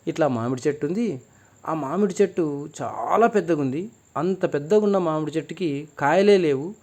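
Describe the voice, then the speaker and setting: A man's voice: on the high side, animated, normal speed, a little mellow. A man voicing a humble young male traveller in a children's story, respectful and a little hesitant. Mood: respectful, earnest. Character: humble, wary young male traveller seeking a guru's help.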